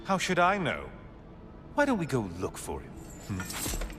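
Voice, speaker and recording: An actor playing a sardonic, taunting sun god in a video game, with theatrical, mock-cheerful sarcasm. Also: male voice, mid-range voice, wildly swooping pitch, a little slow, over music. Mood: sarcastic, mock-offended. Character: taunting, sardonic sun god, gleefully mocking old foe. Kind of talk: dramatic video game acting.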